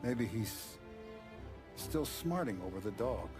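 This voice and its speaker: An actor playing a grave, commanding mafia boss in a video game cutscene, speaking in a measured, ominous way. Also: male voice, lowish voice, very animated, heavily acted. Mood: grim, worried. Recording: music behind the voice.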